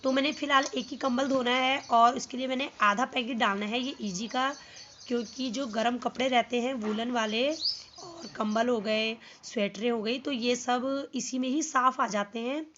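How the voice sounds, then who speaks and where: Hoarse woman chatting through a cold. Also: a little high, moderate pace, a bit bright, lo-fi sound, slight background noise. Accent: Hindi-speaking North Indian. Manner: chatty step-by-step explaining. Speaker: home vlogger. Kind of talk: casual how-to vlog. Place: home, by a washing machine outdoors.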